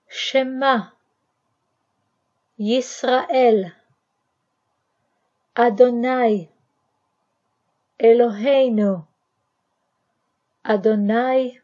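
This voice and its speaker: A woman from Israel with an Israeli accent and native Hebrew gives scripted language instruction, enunciating carefully and deliberately. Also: fairly high pitch, mellow, lo-fi sound.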